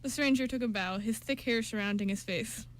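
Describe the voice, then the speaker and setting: A woman's voice: fairly high pitch, a little fast, low-quality recording. A woman reading scripted narration for an amateur radio play, with small stumbles.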